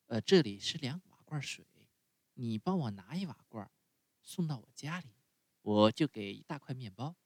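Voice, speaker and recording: A man voicing a soft, kindly little woman. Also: mid-range voice, lively intonation, normal speed, creaky, no echo. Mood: coaxing. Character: kind, gentle little woman, a fairy in disguise.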